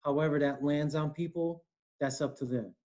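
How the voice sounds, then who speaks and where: A man in his forties with an East Coast American accent speaks on a video call from a room at home. His voice sits a little high for a man but sounds dark and mellow. He talks earnestly and keeps checking in with his listener. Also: moderate pace. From Connecticut, US. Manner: earnest, animated, frequent "you know" and "right?". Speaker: guest, HR director. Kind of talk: conversational interview.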